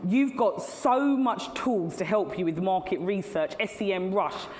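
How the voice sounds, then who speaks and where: A woman's voice: medium pitch, animated, measured pace, a little mellow, slight background noise. A middle-aged woman giving a chatty keynote talk to a live audience, laughing at her own asides. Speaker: keynote speaker. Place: large hall at a tech event. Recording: long hall reverb, faint ambience.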